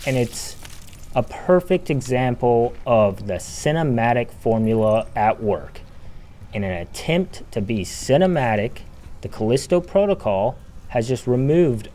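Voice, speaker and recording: A man's voice: medium pitch, expressive, measured pace, dark tone. A man delivering emphatic, opinionated video essay commentary as a game critic.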